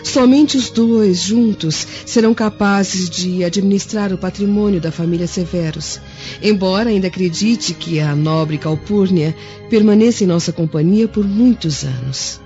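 A woman playing a concerned, gentle Roman noblewoman in a Brazilian radio drama, measured and formal. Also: mid-range voice, lightly acted. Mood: earnest. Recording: muffled recording with faint music.

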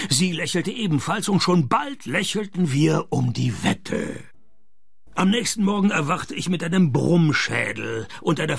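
Sarcastic private eye in a radio crime play, gravelly voice, bit high, totally deadpan. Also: male voice, dry recording, full character voice. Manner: deadpan, dryly ironic. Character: laconic, sarcastic male private detective. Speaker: lead actor and first-person narrator. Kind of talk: German-language radio crime play, dry noir narration.

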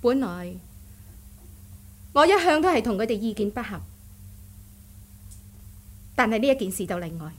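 A stubborn aunt from the martial-arts world in a radio drama. Her voice is fairly high, with a firm, measured delivery. She sounds guarded and stern, an elder who will not be moved. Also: very animated. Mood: stern, unyielding. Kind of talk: Cantonese radio drama acting.

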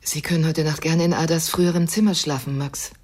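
An actress playing a stern, composed widow in her forties with a husky, breathy voice in a radio drama. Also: low voice, steady tone, brisk, a little mellow. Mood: subdued, composed. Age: 40s. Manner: composed, sober, restrained. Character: stern, composed middle-aged widow and mother-in-law.

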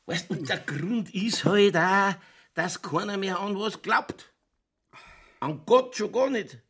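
An actor playing a middle-aged, drunken, ranting Bavarian police chief in a radio crime drama, rambling and crude in a Lower Bavarian accent. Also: male voice, slow, fairly bright tone, husky, full character voice. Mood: bitter, boozy. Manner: rambling, folksy, crude, tipsy.

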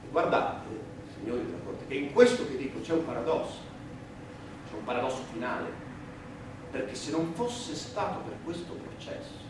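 An actor playing a defiant, wounded condemned prisoner addressing the court in emphatic theatrical oratory full of repetition. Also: male voice, mid-range voice, expressive, normal speed, natural voice. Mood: bitter. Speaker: stage actor. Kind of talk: theatrical monologue and dialogue in a stage play for radio. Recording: roomy, noisy, echoing distant stage recording.

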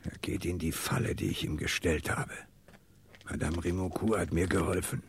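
An actor playing a shrewd, commanding police commissioner with a measured, dryly ironic manner in a radio crime drama. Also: male voice, sits low, steady tone, slight background noise. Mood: hushed, tense. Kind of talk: 1960s German radio crime drama acting.

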